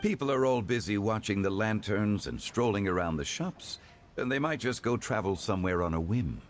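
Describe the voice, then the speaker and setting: An actor playing a dignified, erudite gentleman in an anime game, with formal, measured phrasing. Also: on the low side, lively intonation, slight background noise, lightly acted. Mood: composed, gracious.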